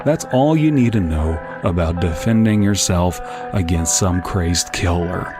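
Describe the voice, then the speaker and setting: Low-voiced deadpan man narrating over music. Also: unhurried. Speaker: podcast narrator. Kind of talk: scripted comic narration.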